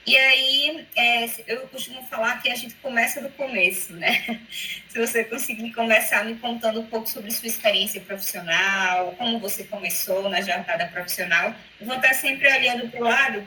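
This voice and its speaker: Bright-voiced Alagoas woman headhunter. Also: a little high, normal speed, a little hiss or hum, rough recording. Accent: Northeastern Brazilian (Alagoas). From Maceió, Alagoas, Brazil. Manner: friendly, chatty, laughs often. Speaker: headhunter interviewer. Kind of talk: video call interview. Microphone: video call.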